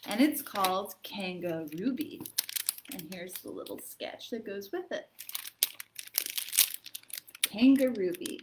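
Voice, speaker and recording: A woman hosting a children's storytime, reading poems aloud and chatting in an expressive, encouraging manner. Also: medium pitch, slow, long pauses, noisy background. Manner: expressive, encouraging, addressing young listeners. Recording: echoey, roomy sound.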